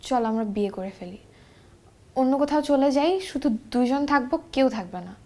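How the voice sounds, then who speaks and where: High-voiced, insistent young Bangladeshi lover. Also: female voice, expressive, fairly quick, mellow, slight background noise. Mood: insistent, jealous. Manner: pressing, mixes in English phrases. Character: insistent, possessive young woman in love. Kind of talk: natural TV drama acting.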